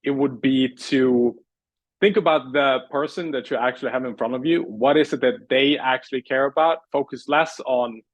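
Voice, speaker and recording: A man's voice: mid-range voice, normal speed, no room sound. A man from Sweden, a guest answering in a casual interview, earnest and thoughtful with frequent restarts. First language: Swedish. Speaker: guest, language and pitch coach.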